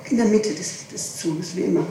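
A woman gives hesitant, evasive testimony, doubling back on her phrases. Her voice is soft-edged and mellow. It comes from a distance on an archival tape, in a very reverberant room with faint noise. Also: lowish voice, moderate pace. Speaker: defendant being questioned. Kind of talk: courtroom testimony. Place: large echoing room, distant mic. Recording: echoey, noisy archival tape from far off.

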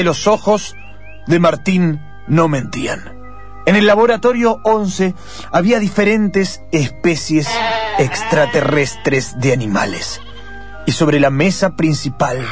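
A man with an Argentine accent narrating a suspense story dramatically, as in a radio play. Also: on the high side.

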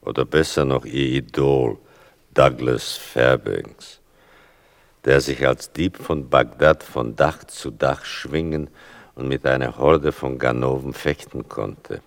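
Radio drama narrator: slow, very low, gravelly, wry and measured, literary storytelling. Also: male voice, dry recording, studio quality, full character voice. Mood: wry, fond. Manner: measured, deliberate, dryly ironic. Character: grave, ironic son recounting his mother's story.

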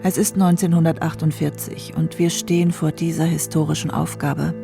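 An actor plays a hopeful, idealistic narrator of post-war Germany. The voice has a strong creak and speaks earnestly over a clear music bed in a radio drama. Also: mid-range voice, flat delivery, normal speed, natural voice. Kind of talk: scripted radio drama monologue.

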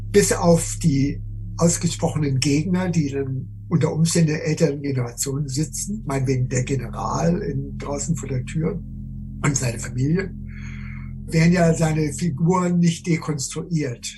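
A man giving reflective interview commentary, speaking in considered, halting phrases. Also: on the high side, warm tone, poor audio. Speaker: literary commentator.

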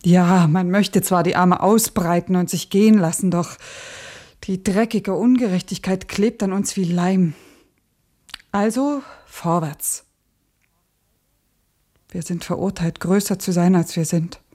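An actress in a radio play, playing a grieving, intense woman revolutionary who speaks in halting short phrases. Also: lowish voice, dark tone. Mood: resolute, sorrowful. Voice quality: husky, breathy.

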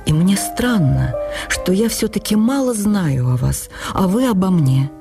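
A woman's voice: medium pitch, lively intonation, a little slow, creaky, over music. A woman voicing a wistful, wry, playful middle-aged male writer in an audio drama. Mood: dreamy, playful. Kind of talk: audio drama memoir reading.

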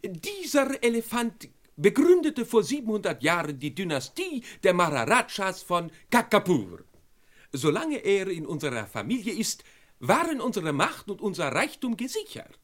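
An actor playing a grand Indian maharaja in a vintage radio crime play, ceremonious, flowery and pompous. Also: male voice, high voice, wildly swooping pitch, moderate pace, exaggerated voice. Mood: stately storytelling. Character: grand, courteous Indian maharaja, imperious and theatrical.

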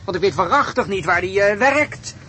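A man playing a smooth-talking young student conman who poses as a professor, glib and hesitating, in a radio play. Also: high-pitched, expressive, moderate pace, bright, forceful tone. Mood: glib, deferential. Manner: glib, hesitating, mock-deferential. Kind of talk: Dutch radio play acting. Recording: clearly noisy radio play recording.

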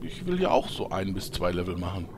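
A man's voice: low-pitched, big pitch swings, brisk, a little mellow, slight background noise. A man playing a cheeky freeloading gamer, theatrical in manner, in a comedic audio drama. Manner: theatrical, sheepish then indignant. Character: cheeky freeloading male player in online game parody.

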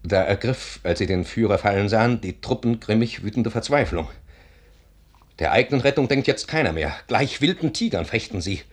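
An actor playing a grave, courteous army officer in a 1950s German radio drama, measured and solemn. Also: male voice, lowish voice, animated. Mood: solemn, dramatic.

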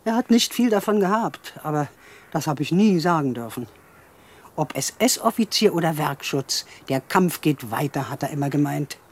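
An actress playing a plain-spoken, resigned elderly widow in a radio drama. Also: female voice, low-pitched, lively intonation, normal speed, husky. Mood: resigned, plaintive. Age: older.

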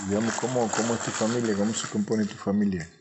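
A man hosting a conversational interview, phrasing his questions in an unhurried, thoughtful way. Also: lowish voice, level tone, background noise. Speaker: radio interviewer and host.

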